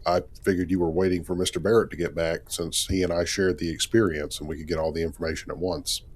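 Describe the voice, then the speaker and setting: A man's voice: low-pitched, little pitch movement, fast. A man playing an easygoing, curious spaceship captain in a sci-fi game story, talking casually and thinking aloud. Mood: curious, probing. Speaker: actor voicing a game character in a fan story. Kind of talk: conversational character acting. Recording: clearly noisy background.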